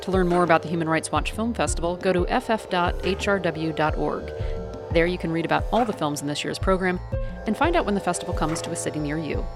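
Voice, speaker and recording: A woman hosting a radio interview show, asking measured, probing questions. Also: lowish voice, over music. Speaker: podcast host.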